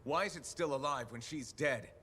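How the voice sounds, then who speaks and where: An actor playing a no-nonsense mercenary hero in a video game, dry, blunt and skeptical. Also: male voice, mid-range voice, normal speed, sharp tone, a little hiss or hum, close to an everyday voice. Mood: impatient. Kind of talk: video game cutscene acting.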